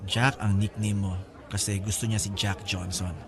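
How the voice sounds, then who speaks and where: Actor as low-voiced breezy, teasing older brother. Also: male voice, little pitch movement, moderate pace, a crowd in the background, close to an everyday voice. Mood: teasing, confiding. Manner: teasing, casual, talkative. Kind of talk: Filipino radio-style drama dialogue.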